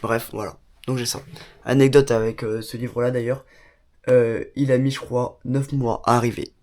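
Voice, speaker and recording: A man's voice: medium pitch, little pitch movement, normal speed, a little mellow. Chatty young man with a cold. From Bordeaux, France. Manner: chatty, enthusiastic, many fillers and asides. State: has a cold. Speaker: YouTuber showing his studio. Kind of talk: casual vlog tour. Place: small home studio room.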